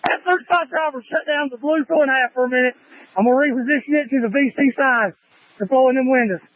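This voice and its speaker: High-pitched man on fire radio. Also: fast talker. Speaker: firefighter, fireground division officer. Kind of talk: emergency radio traffic. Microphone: handheld two-way radio. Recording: muffled lo-fi two-way fire radio.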